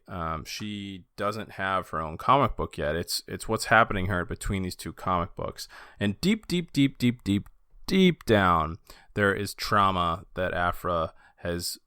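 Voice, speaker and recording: A man's voice: low-pitched, pushed and bright, clear, resonant tone, no echo, studio quality. A man hosting a casual book discussion, speaking as an enthusiastic fan. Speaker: podcast host.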